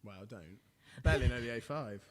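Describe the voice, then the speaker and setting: A man with a loud voice, joking and chatting casually as co-host of a home livestream. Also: mid-range voice, animated, quick pace, long reverb tail. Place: living room at home.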